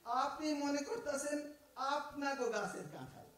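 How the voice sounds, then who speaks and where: Man voicing a sly East Bengali fruit thief, high-pitched, echoey room from far off. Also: lively intonation, moderate pace, fairly bright tone. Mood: wheedling. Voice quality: wheedling, stammering, theatrical. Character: cheeky smooth-talking male fruit thief. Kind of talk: audio drama acting.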